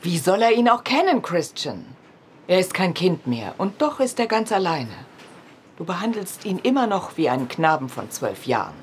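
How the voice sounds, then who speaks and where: A woman's voice: lowish voice, sing-song, moderate pace, a little hiss or hum. An actress playing a caring, persuasive middle-aged farm wife and mother in a radio drama. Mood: earnestly persuasive.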